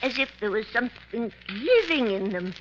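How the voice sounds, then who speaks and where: A prim, sharp-tongued spinster acted by a woman, excitable and easily flustered. Her voice is high and swings expressively through the lines. It comes from a 1950s radio mystery with clear background noise. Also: normal speed, close to an everyday voice. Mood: uneasy. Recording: muffled, noisy 1950s radio recording.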